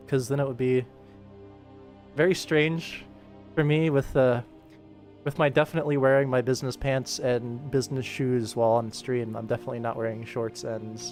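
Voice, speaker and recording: Young guy streamer, middle pitched voice, rambly and self-deprecating, chatting while he animates, music going in the back. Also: a little mellow. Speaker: animator streaming his work. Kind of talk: casual livestream chat while working. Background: background music.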